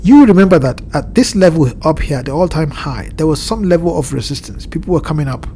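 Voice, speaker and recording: Nasal, congested man with a dark voice. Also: a little high, animated, slight background noise. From Southern Hemisphere. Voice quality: slightly nasal. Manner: measured, explanatory, with frequent ums and restarts. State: blocked nose from a cold. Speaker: crypto market analyst. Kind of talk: screen-share chart walkthrough. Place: home at evening, indoors.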